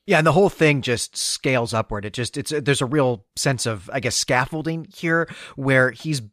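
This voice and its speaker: A man's voice: medium pitch, normal speed, a bit bright, dry recording, studio quality. A man co-hosting a podcast, talking with enthusiasm about a book's writing, with small stumbles. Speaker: podcast co-host. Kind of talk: casual book discussion.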